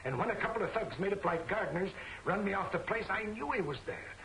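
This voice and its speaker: Casting: excitable, high-pitched newspaper sleuth, brash and slangy, vintage serial style, roomy soundtrack. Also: male voice, quick pace, noisy background. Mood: eager, insistent. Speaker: actor in a crime film serial. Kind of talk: old 1940s film serial acting.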